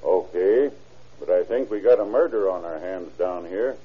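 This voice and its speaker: A deep, slightly rough-edged American small-town lawman for a vintage radio drama. He is methodical and matter-of-fact, and the old recording is dull and muffled. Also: normal speed. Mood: grave.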